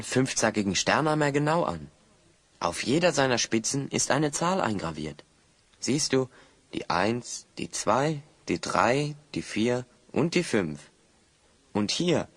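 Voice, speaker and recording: A man's voice: mid-range voice, fairly bright tone, no echo. A man playing a bold, resourceful adventurer hero in a German children's audio drama, eagerly explaining his plans.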